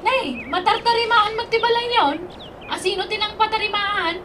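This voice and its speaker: Woman acting very high, insistent young woman. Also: moderate pace. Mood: breezy. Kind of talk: comic Ilocano radio drama acting. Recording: radio drama with clear background noise.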